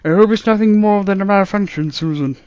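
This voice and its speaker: A man's voice: sits high, fairly quick, darkish tone, dry recording, dull audio, pitched above the performer's own voice, close to an everyday voice. A man voicing a fussy, worried elderly doctor who speaks to his granddaughter.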